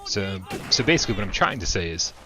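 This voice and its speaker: A man's voice: low-pitched, sing-song, quick pace, noisy background. A man casually commenting on a VR shooter during a livestream, chatty and upbeat, laughing often and full of fillers. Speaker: VR game streamer.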